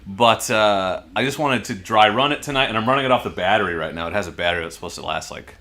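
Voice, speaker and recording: A man in his forties with a lowish voice hosts a relaxed livestream from his garage. He chats easily and reads out viewer comments as he answers them, close to a desk microphone. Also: fast talker. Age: 40s. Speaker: livestream host. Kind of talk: casual livestream chat. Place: home garage.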